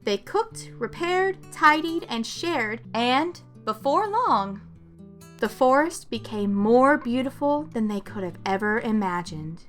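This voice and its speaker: A woman's voice: a little high, lively intonation, slow pace, a bit bright, faint music behind. A woman hosting a children's story time, reading aloud expressively and explaining things simply to young listeners.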